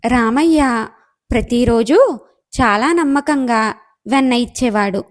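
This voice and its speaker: A woman's voice: on the high side, takes it slowly. A woman narrating a scripted moral story as the storyteller.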